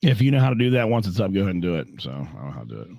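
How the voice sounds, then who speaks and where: A man hosting a casual podcast chat, hoarse and clearing his throat often. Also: low voice, very animated, rapid-fire, dark tone, no echo, clean studio sound. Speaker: podcast host.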